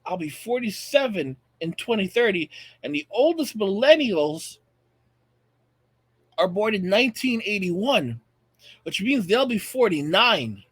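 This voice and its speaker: An American man in his late thirties with a noticeably high-pitched voice. He talks like a video channel host, giving opinionated commentary straight to his viewers. His delivery is emphatic and repetitive for effect, in a dry, close recording. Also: husky, no echo. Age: late 30s. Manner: emphatic, repeats phrases for effect.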